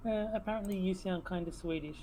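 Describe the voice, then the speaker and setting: A woman co-hosting a casual livestream, making dry, teasing jokes in a relaxed way. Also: lowish voice, fast, a little hiss or hum. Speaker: stream co-host.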